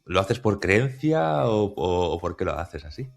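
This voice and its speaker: Low gravelly male podcast host. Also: big pitch swings, measured pace, faint music behind. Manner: hesitant, many restarts and fillers. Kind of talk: conversational interview.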